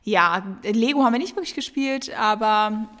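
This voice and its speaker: A woman's voice: a little high, moderate pace, fairly bright tone. A woman in her twenties hosting a casual solo podcast, answering listener questions in a chatty, rambling way with many fillers. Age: 20s. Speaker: podcast host. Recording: long reverb.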